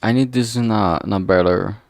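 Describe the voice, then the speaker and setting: The man you'd hear on a late art livestream, close and dry on the mic, murmuring his steps in a lowish voice as he draws. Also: creaky, no room sound. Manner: halting, self-talking, mutters to himself. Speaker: streamer making visual novel art. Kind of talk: casual thinking aloud while drawing on stream.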